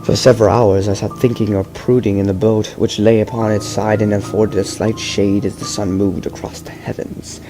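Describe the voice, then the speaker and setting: A young man reading a horror story aloud in a slow, measured first-person voice, stumbling now and then over long words. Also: lowish voice, flat delivery. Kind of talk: amateur audiobook reading. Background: loud background music.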